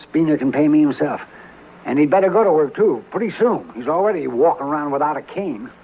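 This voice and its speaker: Crusty, animated frontier doctor. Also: male voice, a little high, brisk, husky. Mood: testy. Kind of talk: 1950s radio western acting. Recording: muffled old radio recording, faint noise.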